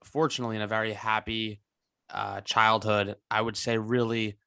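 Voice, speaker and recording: A man's voice: fairly low pitch, level tone, normal speed, vocal fry, bright, forceful tone, clear, resonant tone, no room sound, clean studio sound. A man in his twenties, a guest on an interview podcast, talking earnestly over a video call with many restarts. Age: 20s. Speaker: guest entrepreneur.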